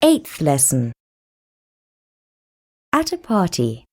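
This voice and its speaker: A woman's voice: deep voice, huge pitch swings, very slow, dark tone, no room sound, clean studio sound. A woman reading English course dialogues and lesson titles in a careful teaching manner. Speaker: language course narrator and dialogue reader. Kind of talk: slow, clearly read textbook dialogue.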